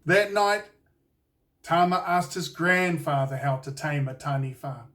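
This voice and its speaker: A man with a New Zealand accent reading a children's storybook aloud in a deliberate, expressive storytelling way. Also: a little high, distant mic. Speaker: children's author reading his own book. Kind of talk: scripted storybook narration for children.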